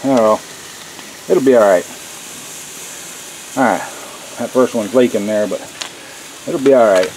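A man's voice: medium pitch, measured pace, pauses often, darkish tone, low-quality recording. Man, folksy cooking host, handheld camera mic, faint background noise. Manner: folksy, talks to viewers as "y'all". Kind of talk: casual cooking demo. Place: home kitchen, then outdoor grill.

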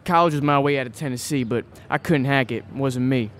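A man's voice: medium pitch, brisk, a little hiss or hum, close to an everyday voice. Actor as a restless, earnest small-town young man. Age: young adult. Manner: eager, folksy, blunt questioning. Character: restless, earnest small-town Tennessee youth, about twenty. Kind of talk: audio play acting before a live audience.

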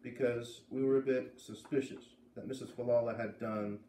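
A man in his thirties reading a story aloud with expressive narration, sitting indoors at a little distance from the camera. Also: mid-range voice, normal speed. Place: living room indoors by a fireplace. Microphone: camera a few feet away. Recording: roomy camera sound, faint noise.

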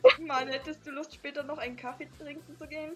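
A woman's voice: high voice, steady tone, quick pace, a little mellow, soft background music. A young woman hosting a let's play, reading visual novel dialogue aloud with playful, giggly asides. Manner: giggly, reads lines playfully.

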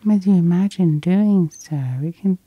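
A woman leading a guided sleep hypnosis in a soft, soothing half-whisper, close to the microphone. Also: lowish voice, moderate pace, narrow, tinny audio.

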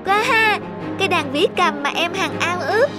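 A lonely little cartoon schoolgirl, polite and quick to apologise. The voice is extremely high, with loud music underneath. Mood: delighted. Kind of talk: Vietnamese cartoon drama dubbing.

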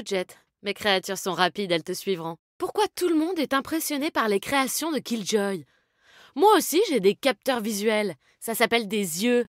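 A fairly high female voice for a tough, animal-loving game fighter. She is brash and confident, with brisk, slangy delivery. The sound is dry, with no room to it. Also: a bit bright, dry recording. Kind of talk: video game character voice lines.

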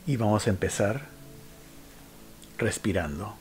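Low-pitched man guiding a slow meditation. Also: lively intonation, darkish tone, a little hiss or hum. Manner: unhurried instructional delivery with frequent pauses. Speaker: meditation guide. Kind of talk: guided meditation. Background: background music.

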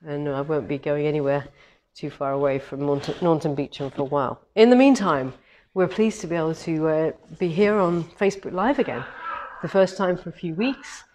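A woman with a head cold, slightly hoarse, hosting a rambling, cheerful live-stream chat from a large quilting showroom. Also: lowish voice, darkish tone, slight background noise. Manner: chatty and cheerful, rambling with many ums. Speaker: quilting shop owner hosting a live demo. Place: large quilting showroom with longarm machines, indoors. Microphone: camera on a tripod a few metres away.

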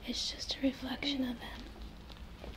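A young woman doing an ASMR role play in a soothing, reassuring, breathy whisper, close to the microphone in a room. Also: sits high, flat delivery, slow. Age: young adult. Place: small indoor room.

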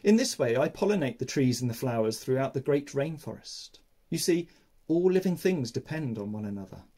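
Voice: medium pitch, very animated, brisk, warm tone. A storyteller voicing a busy bee from a rainforest storybook. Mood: earnest. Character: buzzing bee, busy and friendly.

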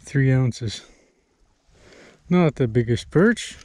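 A young man narrating a fishing vlog from a small boat on a lake, chatty and relaxed, thinking aloud with fillers. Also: mid-range voice, very slow, no echo. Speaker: fishing vlogger. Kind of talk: casual outdoor vlog narration. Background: outboard motor and water noise.

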